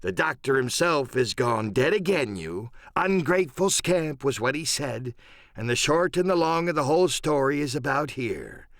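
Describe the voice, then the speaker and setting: A man voicing an older pirate captain in an audiobook, sly and genial yet menacing, drawling sailor's slang in unhurried phrases. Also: mid-range voice, expressive, a bit bright, dry recording, studio quality, voice pushed up. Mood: coaxing. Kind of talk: audiobook radio-play character acting.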